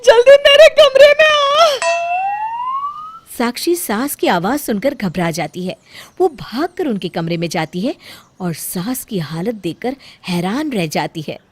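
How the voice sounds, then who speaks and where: A woman storyteller reading a scripted tale in an expressive narrating style. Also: mid-range voice, unhurried, a little hiss or hum.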